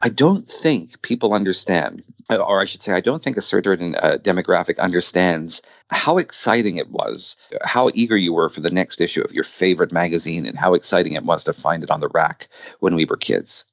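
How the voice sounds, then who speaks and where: A man's voice: low voice, fairly quick, fairly bright tone, no echo, muffled recording. A middle-aged man telling stories as a podcast guest in an enthusiastic, self-deprecating, conversational way. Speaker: podcast guest, audio drama producer and narrator. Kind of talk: conversational interview storytelling.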